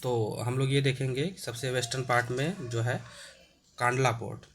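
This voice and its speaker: A man with a sore throat, explaining an exam-prep lesson with many hesitations and frequent throat clearing. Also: medium pitch, steady tone, moderate pace, a bit bright, faint music behind.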